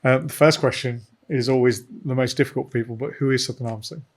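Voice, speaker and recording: A man's voice: medium pitch, fairly even intonation, a little fast. A man hosting a podcast, asking questions in a jokey, informal way with plenty of ums. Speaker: podcast host. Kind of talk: casual interview chat.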